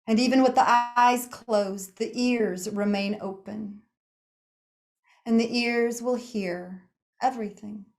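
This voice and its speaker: Slow-speaking woman guiding meditation. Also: a little high, steady tone, a little mellow. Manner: soothing, unhurried, with pauses between short phrases. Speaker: yoga nidra meditation guide. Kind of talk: guided meditation narration.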